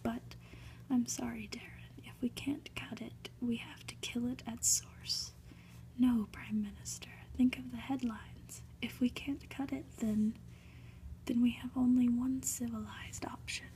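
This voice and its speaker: A grave male professor from a storybook, played by a woman reading aloud. He speaks slowly and reluctantly in a hesitant whisper. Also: fairly high pitch, fairly even intonation, mellow, poor audio. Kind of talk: whispered ASMR storybook dialogue.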